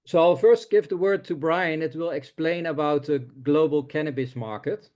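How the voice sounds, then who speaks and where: A Dutch-native man presents his research at a web seminar, pausing often and filling gaps with "uh". His voice sits a little high but sounds warm and mellow, never sharp. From Netherlands. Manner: halting, many filler sounds. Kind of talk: webinar presentation.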